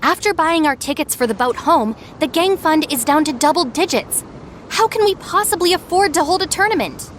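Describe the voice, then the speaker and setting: Firm, higher-pitched young gang deputy. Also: female voice, a bit bright, slight background noise. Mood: exasperated. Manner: firm, no-nonsense. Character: sensible, stern, exasperated young female gang deputy. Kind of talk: anime game voice acting.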